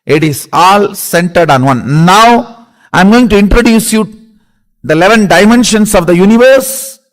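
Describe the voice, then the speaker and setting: A man with an Indian accent giving a spiritual discourse as a guru, speaking emphatically in halting, short, repeated phrases. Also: high voice, expressive. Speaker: spiritual guru teaching a course.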